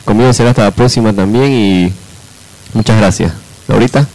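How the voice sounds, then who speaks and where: Male radio co-host, lowish voice, unscripted and hesitant delivery. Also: darkish tone, poor audio. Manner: hesitant, halting.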